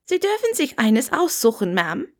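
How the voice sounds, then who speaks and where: A woman plays an old village innkeeper, a stooped little man in an audiobook tale. He is curt and grudging, hoarse, and his lines are pitched raised above plain narration. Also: wildly swooping pitch, moderate pace, a little mellow, heavily acted. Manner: curt, terse.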